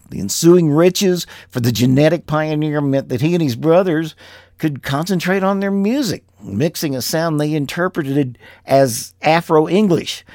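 A man's voice: a little high, no echo, studio quality. A man hosting a music show, narrating pop history between songs in a presenter's style. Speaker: radio music show host.

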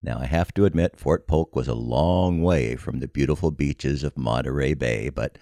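Older American male storyteller, extremely low and gravelly, measured, wry scripted narration. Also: dark tone, no room sound, clean studio sound. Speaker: podcast storyteller.

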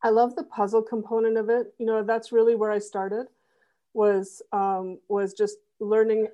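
A middle-aged woman talking through her web development work in a relaxed interview, with plenty of restarts and fillers. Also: medium pitch, normal speed, muffled recording. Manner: thoughtful, many fillers and restarts. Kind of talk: conversational interview.

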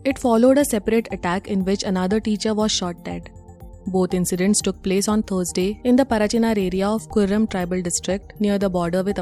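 Mellow-voiced woman reading the news. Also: medium pitch. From India. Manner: steady, measured headline delivery. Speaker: news presenter.

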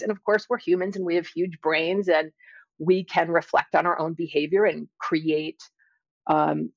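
A middle-aged woman speaking as an interview guest, explaining and telling her experiences in a thoughtful, halting way with drawn-out emphasis. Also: lowish voice, vocal fry, dry recording, highs cut off. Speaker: interview guest, scientist and author. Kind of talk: conversational interview, personal storytelling and explanation.

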